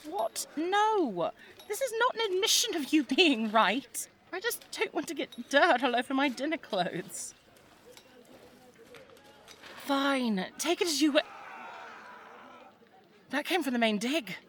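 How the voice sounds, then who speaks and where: A woman's voice: sits high, big pitch swings, measured pace, a bit bright, a little hiss or hum, full character voice. A woman playing a headstrong, caring young English daughter in a 1920s audio drama, with well-spoken, formal period phrasing. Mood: flustered, indignant, then alarmed. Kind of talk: scripted audio drama acting.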